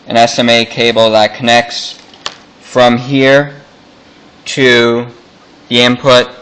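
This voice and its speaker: A man with a bright, clear voice talks through hobby electronics in a casual, informal way. He goes slowly and haltingly, explaining technical details piece by piece as he demonstrates. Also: fairly low pitch, low-quality recording. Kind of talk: casual explanatory product walkthrough.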